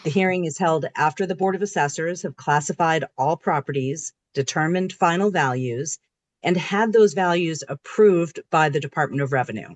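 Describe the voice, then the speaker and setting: A woman who is hoarse from a cold, speaking on a video call as a town assessor and walking through a formal presentation in a methodical, explanatory way. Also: low-pitched, moderate pace, no echo. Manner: methodical, explanatory, reading from slides. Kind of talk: formal public meeting presentation.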